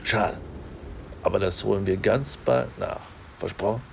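Genial older policeman, radio drama, avuncular, creaky voice, bit of background noise. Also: fairly low pitch, measured pace. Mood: familiar, probing. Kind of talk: radio play acting.

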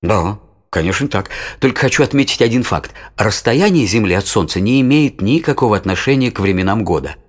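An actor playing a patient father who explains science in a measured, didactic way in an educational audio play. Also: on the low side, animated.

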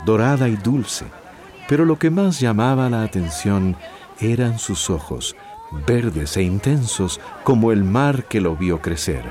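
Mellow, expressive male radio soap narrator. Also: fairly low pitch. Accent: Latin American. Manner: dramatic, lyrical storytelling.

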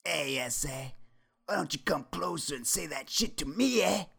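A high, snarling gang leader. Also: male voice, lively intonation, normal speed, creaky, fairly bright tone, husky, exaggerated voice. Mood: mocking. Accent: put-on Mexican Spanish accent. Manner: swearing, threatening, taunting. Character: menacing male street gang leader, hot-tempered and mocking.

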